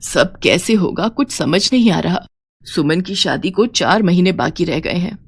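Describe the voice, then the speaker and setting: Woman playing a gentle, fretful mother-in-law. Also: on the low side, lively intonation, a little slow, breathy edge, dry recording, exaggerated voice. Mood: worried. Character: older mother-in-law, gentle and worried about family.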